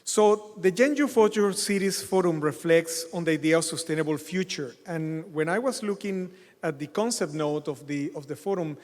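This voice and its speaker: A middle-aged man giving a conference keynote, halting with many fillers. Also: fairly high pitch, darkish tone. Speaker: keynote speaker. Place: large hotel conference hall. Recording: long hall reverb.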